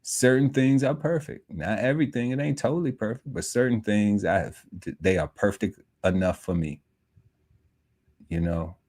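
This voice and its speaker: A man's voice: on the low side, moderate pace, a little mellow. A man in his forties chatting casually on a podcast panel, talking in a loose, laid-back way with many fillers. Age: 40s. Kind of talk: casual chat. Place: home podcast setup.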